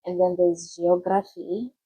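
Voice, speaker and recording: A woman's voice: on the low side, level tone, a little slow, warm tone, breathy edge, poor audio. A young woman giving casual study-planning tips to camera, chatty and loose, often restarting her sentences. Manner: chatty, loose and self-correcting, with frequent restarts. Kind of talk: casual explanatory tutorial.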